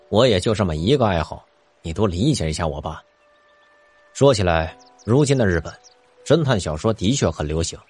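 An actor playing an earnest, expressive middle-aged police detective who loves mystery novels, in an audio drama. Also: male voice, deep voice, breathy edge. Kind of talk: multi-cast audio drama acting.

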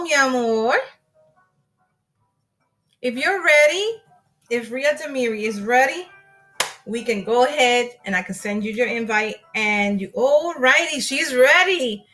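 A woman's voice: sits high, bright, forceful tone. A young woman hosting an online live show, chatting casually and greeting viewers and her guest with Spanish endearments. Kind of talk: casual live-stream chat.

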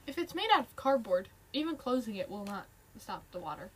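A woman's voice: mid-range voice, sing-song, brisk, breathy edge, rough recording. A woman co-hosting a casual podcast, chatting in a rambling, playful way as she hops between silly topics. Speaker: podcast co-host. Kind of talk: casual chat.

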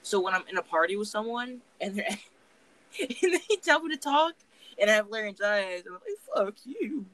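A young man with a slightly raised voice talks casually on a podcast. He swears constantly and rambles through animated stories. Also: high voice, normal speed, no room sound, rough recording. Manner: profane, rambling, animated storytelling. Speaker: podcast co-host.

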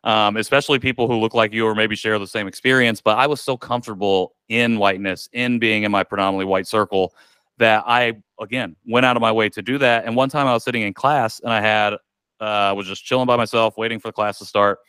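A man's voice: fairly low pitch, steady tone, fast, bright, forceful tone, clear, resonant tone, no room sound. A man, a podcast guest telling a candid personal story in a reflective way, with many likes and ums. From United States.

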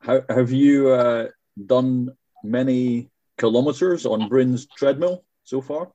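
A man's voice: mid-range voice, takes it slowly, dark tone, no echo, lo-fi sound. A man hosting a podcast interview, chatty, with frequent restarts. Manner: chatty, stumbling restarts, laughs often. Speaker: podcast host.

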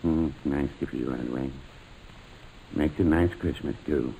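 Mellow, deadpan old druggist. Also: male voice, rumbling low, a little slow, gravelly, heavily acted, poor audio. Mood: wistful. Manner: deadpan, hesitant, murmuring. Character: dry, mild, unhurried older small-town druggist. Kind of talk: 1940s radio comedy acting. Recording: old radio broadcast with background noise.

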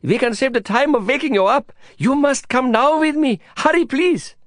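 High guide voice, breathless, pleading, dry close sound. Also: male voice, normal speed, fairly bright tone, no room sound. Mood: urgent pleading. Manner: breathless, pleading, broken English. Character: excitable young male slum guide. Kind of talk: audiobook character voice.

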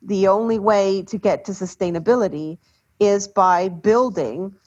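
A woman from Ecuador, a development expert speaking in a measured, deliberate way on a panel. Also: medium pitch, husky. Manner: measured, deliberate, trailing off softly. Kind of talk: panel discussion. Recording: dull lo-fi sound.

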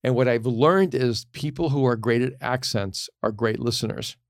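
A middle-aged man speaks in an earnest, reflective storytelling manner as a pastor and communication teacher. His voice sits at an ordinary pitch for a man. The sound is close and dry, with almost no room around it. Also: normal speed, no echo. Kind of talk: casual podcast conversation.

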